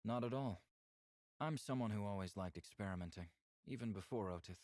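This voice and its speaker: Very deep, reserved male alien played by a man. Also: a little fast, darkish tone, no room sound, studio quality, lightly acted. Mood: calm, candid. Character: reserved, candid male alien lover from a conservative clan. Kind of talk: visual novel character acting.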